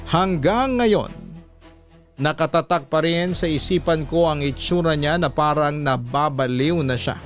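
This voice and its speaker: Male storytelling narrator, slightly high voice. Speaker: radio drama host and narrator. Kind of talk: scripted first-person story narration.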